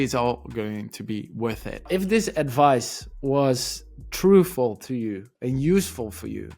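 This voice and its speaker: A man's voice: mid-range voice, darkish tone. A young man in his twenties with a Dutch accent, a study coach giving earnest, encouraging advice straight to camera. Dutch is his first language. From Netherlands.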